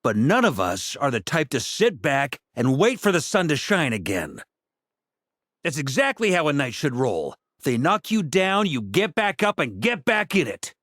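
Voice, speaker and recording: An actor playing a relaxed, wry knight captain in a video game, encouraging his allies. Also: on the high side, moderate pace, dry recording, clean studio sound, full character voice. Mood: rallying.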